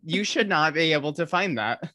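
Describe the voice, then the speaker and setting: A woman's voice: low voice, lively intonation, normal speed, a bit bright, no echo. A woman hosting a podcast, chatting in an upbeat way that leans heavily on fillers. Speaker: podcast host. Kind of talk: casual chat.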